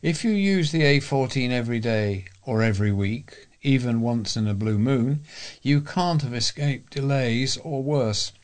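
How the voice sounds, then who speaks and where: Man, British accent, mid-range pitch, measured delivery, volunteer reader of local news. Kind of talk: read-aloud local news and letters.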